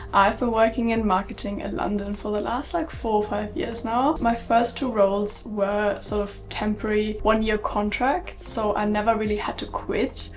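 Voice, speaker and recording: A young woman from Germany with a German accent, talking candidly to the camera in a casual sit-down vlog. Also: mid-range voice. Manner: chatty and candid, many fillers like um and like. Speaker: vlogger.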